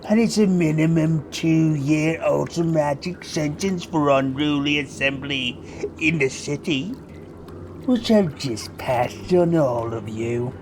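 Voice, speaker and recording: A man's voice: on the high side, slow, a little hiss or hum, pitched above the performer's own voice. A man voicing a cruel, darkly armored noble in an audio drama, with a pompous, mock-gracious and menacing delivery. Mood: coldly menacing. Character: darkly armored noble, sneering, bellowing, cruel male authority. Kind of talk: scripted audio drama acting.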